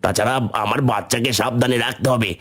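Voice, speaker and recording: Man as a husky-voiced, clever bossy monkey. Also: medium pitch, steady tone, fast talker, fairly bright tone, natural voice. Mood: determined. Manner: chiding, know-it-all, instructive. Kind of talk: animated children's fable dialogue. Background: music.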